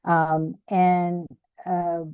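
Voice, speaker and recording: A woman's voice: fairly low pitch, little pitch movement, drawn-out words, a little mellow, clean tone, dry recording. Hesitant older woman from Malaysia, dry. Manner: hesitant, many ums and restarts. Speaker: webinar speaker, activist. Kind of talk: casual presentation over video call. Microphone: video call.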